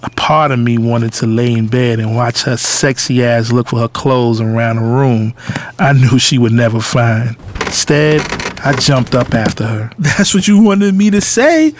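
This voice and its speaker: A man's voice: medium pitch, background noise. A man playing a cocky, lovestruck adult son, telling his own story candidly and intimately in an audio drama. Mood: defensive. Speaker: narrator and lead character. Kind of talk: first-person audio drama narration and dialogue.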